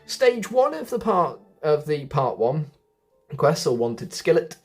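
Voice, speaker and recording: A man's voice: fairly high pitch, big pitch swings, takes it slowly, faint music behind. A man giving a casual, chatty walkthrough of a video game, often thinking aloud as he guides viewers. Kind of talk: casual gameplay walkthrough commentary.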